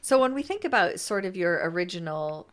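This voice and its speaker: A woman's voice: on the low side, lively intonation, brisk. A woman conducting a conversational interview, reflecting on what her guest says in a supportive, thoughtful way.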